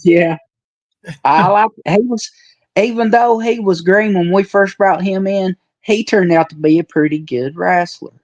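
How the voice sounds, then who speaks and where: A man's voice: high-pitched, measured pace, dry recording, highs cut off. A man in his forties chatting casually and telling stories in a folksy, colloquial way as a retired wrestler on a podcast. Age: 40s. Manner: folksy storyteller with colloquial rural phrasing. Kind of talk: casual reminiscing chat.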